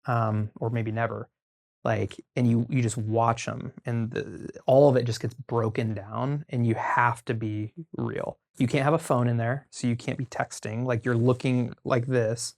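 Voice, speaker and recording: An American man chatting casually as a podcast guest, telling stories informally and haltingly as he thinks aloud. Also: mid-range voice, little pitch movement, darkish tone. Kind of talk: casual conversational storytelling.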